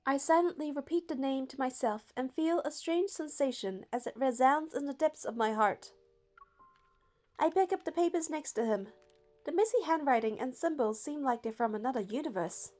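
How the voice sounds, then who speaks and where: A woman narrating a game playthrough, reading the story text aloud in a steady, even way. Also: high-pitched, normal speed, soft background music.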